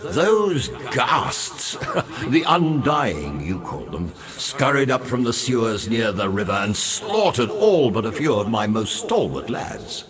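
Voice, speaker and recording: A man's voice: medium pitch, very animated, takes it slowly, a crowd in the background. An actor playing a grandiose, roguish undead sea captain in a video game, florid and pompous, wry and morbidly cheerful as he savors his words. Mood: reminiscing, disdainful. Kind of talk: theatrical video game character dialogue.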